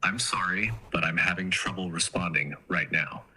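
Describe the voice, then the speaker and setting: A man's voice: low-pitched, steady tone, moderate pace. A man's synthetic assistant voice giving a polite automated message, played back distantly with noise. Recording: noisy, distant assistant playback.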